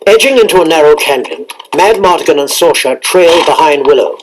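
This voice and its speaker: A man's voice: fairly high pitch, expressive, brisk, background noise. A man reading a scripted, dramatic storybook narration on a read-along cassette. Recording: cassette narration over loud music. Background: loud orchestral music and sound effects.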